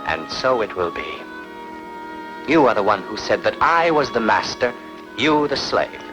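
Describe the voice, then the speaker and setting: Ruthless would-be king, played by a male actor, declamatory epic-film style, widely swinging pitch, music underneath. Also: very animated, a little slow, poor audio. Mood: proud, resolute. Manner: grand, declamatory, dramatic. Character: ambitious, ruthless, proud conquered warlord plotting to seize a throne. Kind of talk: dubbed historical epic film acting.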